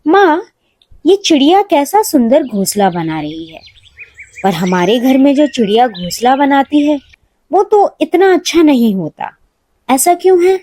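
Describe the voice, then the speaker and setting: Woman acting a curious little girl, high-pitched. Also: big pitch swings, unhurried, mellow. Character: curious little girl questioning her mother.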